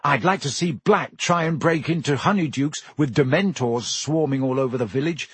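A cheeky, excitable teenage schoolboy from a storybook , as a male narrator plays him. He is blunt and colloquial, with a slightly husky edge in a dry, close recording. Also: fairly high pitch, normal speed, no echo. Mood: quietly reasoning.

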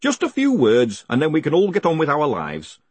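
A man's voice: a little high, wildly swooping pitch, normal speed, darkish tone, breathy edge, voice pushed up, full character voice. A man voicing a chatty, cheerfully businesslike hangman who nags politely in chummy, colloquial speech, as in an audiobook. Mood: coaxing.

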